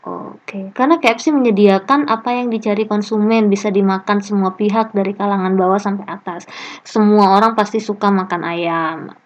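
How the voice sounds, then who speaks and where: A woman's voice: medium pitch, fairly even intonation, moderate pace. A woman lecturer leading an online class discussion, reading student comments aloud with a chatty, joking manner. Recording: muffled lo-fi online call audio.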